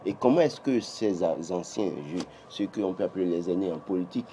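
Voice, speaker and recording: Emphatic Beninese commentator with dark voice. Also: male voice, sits low, a little fast, a little hiss or hum. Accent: Beninese French. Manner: emphatic, many restarts, rising when insisting. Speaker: political commentator. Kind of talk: morning TV press review.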